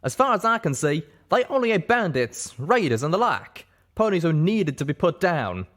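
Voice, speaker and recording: Man doing a loud, high, hot-headed cowboy pegasus. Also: big pitch swings, moderate pace. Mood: indignant, loud. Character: hot-headed young male pegasus cowboy, indignant and desperate.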